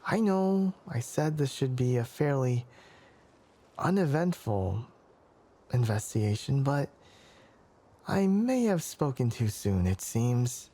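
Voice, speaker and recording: A man playing a young, scholarly forest ranger with animal ears in an ASMR roleplay, courteous and measured, with a soft, breathy voice. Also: medium pitch, very animated. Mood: grateful, slightly strained. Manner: measured, hesitant, courteous, bookish phrasing. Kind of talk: ASMR roleplay, soft character acting addressed to listener.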